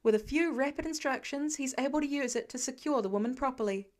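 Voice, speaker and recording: A woman's voice: high-pitched, quick pace. A woman reading scripted story narration as an audiobook-style narrator. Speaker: podfic narrator.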